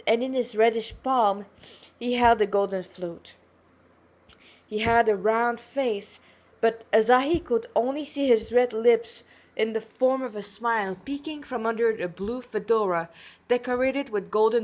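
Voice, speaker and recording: A woman's voice: fairly high pitch, normal speed, a little mellow. A woman with a congested nose reading a fantasy story aloud as an amateur narrator.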